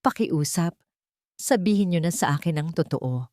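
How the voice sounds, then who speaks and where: A woman's voice: lowish voice, animated, normal speed, darkish tone, no room sound, studio quality, natural voice. A woman voicing a distraught young woman who pleads desperately in a story. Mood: desperate pleading.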